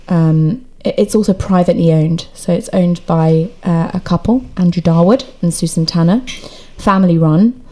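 A woman guest, a dental therapist, explaining her work with eagerness in quick bursts in a clinic room. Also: fairly low pitch, mellow. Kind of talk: conversational explanation.

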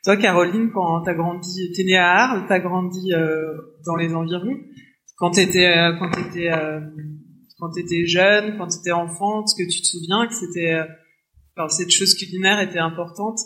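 A man hosting a conversational podcast interview, asking questions haltingly and often restarting them. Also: high-pitched, fairly even intonation, pushed and bright, rough recording.

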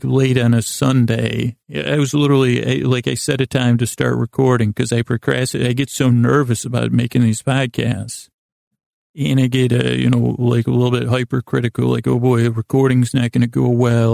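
A man's voice: medium pitch, vocal fry, dark tone, dry recording, studio quality. A man hosting a bedtime podcast in a rambling, soothing, self-deprecating way, stammering and repeating words as he goes. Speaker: podcast host.

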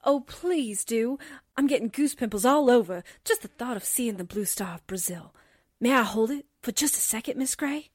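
Woman as a high-voiced, gushing girl reporter. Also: lively intonation, normal speed, no room sound, studio quality. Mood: breathless excitement. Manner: gushing, dramatic. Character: gushing, excitable, sassy newspaperwoman sidekick. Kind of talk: 1940s radio drama acting.

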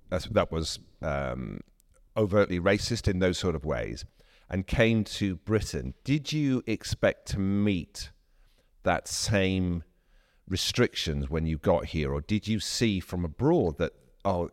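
A man co-hosting an interview who asks thoughtful, probing questions. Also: sits low. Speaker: podcast co-host.

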